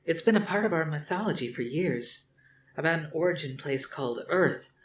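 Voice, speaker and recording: A man reading aloud, voicing a sharp-tongued young woman officer who is the commander's daughter and keeps prodding her father with questions. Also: deep voice, expressive, normal speed, roomy sound, a little hiss or hum, pitched above the performer's own voice. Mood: questioning. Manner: prodding, challenging.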